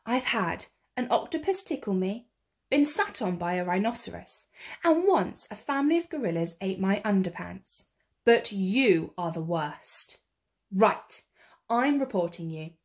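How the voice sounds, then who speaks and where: A woman's voice: mid-range voice, expressive, measured pace. A woman playing an indignant television man in a children's storybook, scolding sternly and emphatically.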